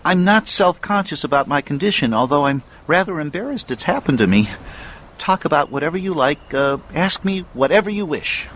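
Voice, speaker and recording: Bookish, courteous amnesiac man; wordy and analytical, lively intonation, faint ambience behind. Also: a little high, fairly quick, a little hiss or hum. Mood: detached, courteous. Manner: formal, wordy, hesitant, precise. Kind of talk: family radio drama acting.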